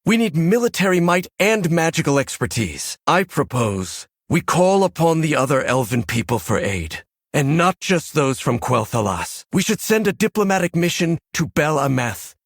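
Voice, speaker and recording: An actor playing a determined young elf noble in a video game, earnest, formal and impassioned. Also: male voice, a little high, big pitch swings, normal speed, no echo, studio quality. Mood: rallying resolve. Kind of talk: dramatic video game voice acting.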